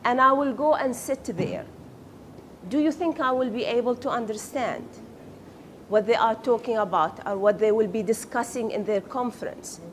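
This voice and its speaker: A woman's voice: a little high, normal speed, slight background noise. A woman whose first language is Arabic, teaching a class and quizzing her students, then praising their answers. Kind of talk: classroom lecture with questions.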